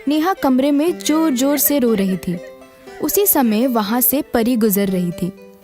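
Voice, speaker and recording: A woman's voice: high voice, lively intonation, slow pace, warm tone. A woman narrating a scripted children's story as storyteller, with music behind her.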